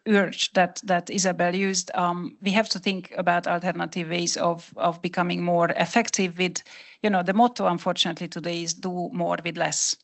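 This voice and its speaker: Gravelly-voiced woman, Hungarian native speaker. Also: lowish voice. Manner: earnest, explanatory, many restarts. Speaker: panelist. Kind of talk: panel discussion answer.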